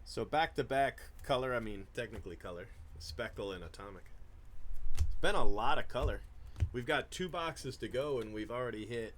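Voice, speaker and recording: A man's voice: mid-range voice, lively intonation, normal speed, fairly bright tone, slight background noise. A man hosting a trading card break, chatting casually about his past with many fillers and restarts. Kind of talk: casual chat while opening cards.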